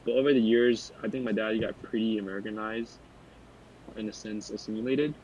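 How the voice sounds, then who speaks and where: A man's voice: on the low side, steady tone, brisk, darkish tone, a little hiss or hum, rough recording. A young man talking in a casual podcast discussion, loose and rambling, who sometimes loses his thread. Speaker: podcast panelist.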